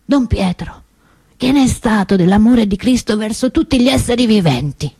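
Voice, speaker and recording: A woman's voice: fairly high pitch, lively intonation, normal speed, dark tone, slightly rough, no room sound, clean studio sound. A woman playing an impassioned, reform-minded preacher who delivers a solemn, accusatory sermon as a theatrical monologue. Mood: impassioned, reproachful.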